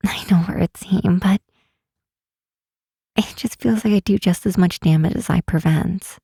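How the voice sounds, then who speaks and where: Woman acting as a breathy, husky, timid young healer. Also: on the low side, no room sound, studio quality, exaggerated voice. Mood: anxious, self-doubting. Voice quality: soft, breathy, husky half-whisper. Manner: halting, sighing, unsure delivery. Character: timid young female fantasy healer, self-doubting, gentle and needy. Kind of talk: ASMR roleplay, intimate and hesitant. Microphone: close, dry microphone.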